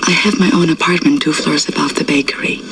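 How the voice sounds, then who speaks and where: An actress playing a bitter, haunted wartime resistance woman in her thirties, reading her secret diary in a hushed, breathy, deliberate deadpan for a video game. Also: low voice, recorded from a distance, a little hiss or hum, poor audio. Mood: grim, pained. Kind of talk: dramatic scripted video game audio diary.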